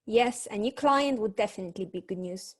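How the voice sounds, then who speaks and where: A woman playing a knowing, brisk assistant to a detective in a comic noir radio serial, dry and eager. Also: medium pitch, natural voice.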